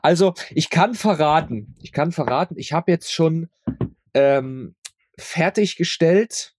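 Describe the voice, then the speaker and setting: A man's voice: on the high side, expressive, slow pace, darkish tone, no echo, clean studio sound. A man speaking as a guest in a casual interview, rambling and often repeating his words as he explains his audio drama work.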